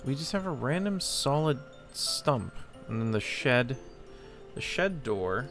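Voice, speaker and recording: Guy doing a casual lets play, thinking out loud, creaky voice, game music behind him. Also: medium pitch, very animated, slow pace. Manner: hesitant, thinking aloud. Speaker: game streamer. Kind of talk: casual let's play commentary.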